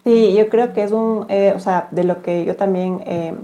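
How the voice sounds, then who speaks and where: A woman's voice: mid-range voice, steady tone, measured pace, mellow, highs cut off, slight background noise. A woman speaking as an interview guest for a business, explaining things conversationally and often answering her own questions. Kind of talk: casual explanatory interview talk.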